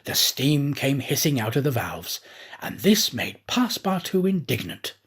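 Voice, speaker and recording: A man reading a classic novel aloud as a trained actor, in expressive storybook narration. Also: medium pitch, measured pace, breathy edge. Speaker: audiobook reader, professional actor.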